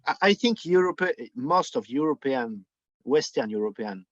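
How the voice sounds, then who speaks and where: A dry, slightly muffled recording carries a mid-pitched man with a French accent. He is a native French speaker giving opinions in conversational English, searching for words and repeating himself for emphasis. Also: expressive, dry recording. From France. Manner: halting, emphatic repetition in non-native English. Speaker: podcast guest, book editor.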